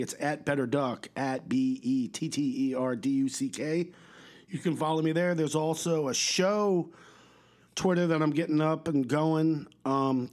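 A man's voice: a little high, darkish tone. A man hosting his own podcast, talking in a blunt, profane, rambling way, his voice a little stuffed up and slightly coughy. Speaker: podcast host. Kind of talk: casual solo podcast rant.

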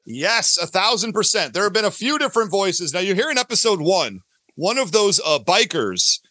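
Bright, high-voiced enthusiastic man. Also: very animated, moderate pace, no echo. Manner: enthusiastic, gushing, emphatic. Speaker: podcast guest, audio drama creator. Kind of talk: casual, rambling interview chat.

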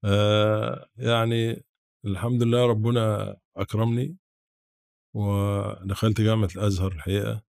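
Interview guest: Egyptian male, seventies, lowish creaky voice, reminiscing haltingly with restarts. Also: flat delivery, a little mellow, dry recording, studio quality. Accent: rural-born Egyptian Arabic. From Sharqia, Egypt. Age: 70s. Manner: halting, with repeated false starts. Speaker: podcast guest, academic.

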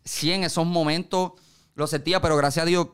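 A man's voice: fairly high pitch, steady tone, brisk, a bit bright, smooth. A man with a Puerto Rican accent, a music producer being interviewed, telling stories in casual conversation. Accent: Puerto Rican Spanish. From Puerto Rico.

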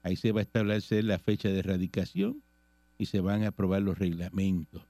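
A Puerto Rican man hosts a radio talk show, reading political items aloud and then chatting about them. His voice is gravelly and mellow. The sound is dry and close. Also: low-pitched, steady tone, a little fast, no room sound. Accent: Puerto Rican Spanish. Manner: reads news items and comments on them conversationally.

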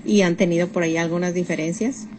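A woman's voice: lowish voice, fairly even intonation, fast. A woman putting survey questions to someone as an interviewer. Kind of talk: reading survey questions to a passer-by. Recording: noisy on-location interview recording.

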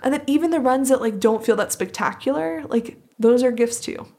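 A woman's voice: fairly high pitch, a little fast, a little mellow. A woman speaking as a podcast host in a reflective, conversational interview, sounding earnest and appreciative.

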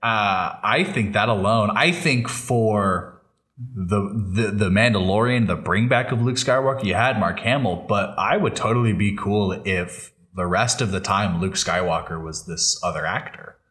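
A man's voice: lowish voice, a little slow, fairly bright tone. A man co-hosting a fan podcast, talking in a casual, enthusiastic, conversational way. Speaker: podcast co-host.

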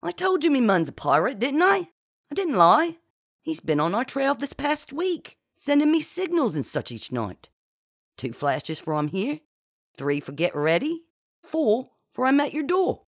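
Storybook pirate's girl: high-pitched, cheeky, boastful, colloquial, very animated. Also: a little slow, breathy edge, no room sound, clean studio sound, exaggerated voice. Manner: colloquial, bold, declaring grandly. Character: cheeky, boastful young woman, a pirate's sweetheart.

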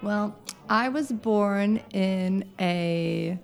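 A woman's voice: mid-range voice, very slow, creaky, soft background music. A woman from the United States, chatting as a podcast guest, laughing often and wandering into asides. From United States (Southern Oregon). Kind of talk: casual chat.

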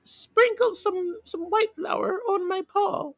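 Storybook wolf, male reader: villainous, sly, wheedling, pitched up in disguise. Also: takes it slowly, no room sound, clean studio sound, heavily acted. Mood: demanding.

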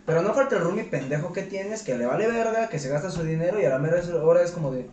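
A man with a Mexican accent talks very fast in a slightly high voice, bantering crudely in slang. The recording is echoey, as if from a little way off. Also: animated, darkish tone. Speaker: podcast co-host. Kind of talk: casual banter. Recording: echoey, distant mic, faint noise.

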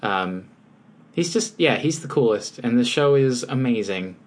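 A man co-hosts a podcast in relaxed, casual conversation. He speaks in an easygoing way, often pausing on "um" before he carries on. His pitch is in the middle range for a man. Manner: easygoing, halting with ums. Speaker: podcast co-host. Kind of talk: casual chat.

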